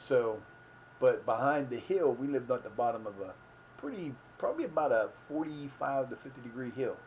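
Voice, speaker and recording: Fifties Ohio man, livestream host, chatty and wandering, mid-pitched voice on dull lo-fi audio. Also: animated, moderate pace, slight background noise. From Ohio, United States. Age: 50s. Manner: meandering, self-correcting, folksy. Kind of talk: rambling casual storytelling.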